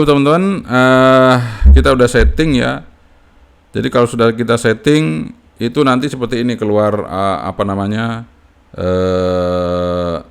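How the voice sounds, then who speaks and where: Man, lowish pitch, informal hobbyist tutorial, unscripted, step-by-step, frequent checking tags. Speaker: amateur radio hobbyist giving a tutorial.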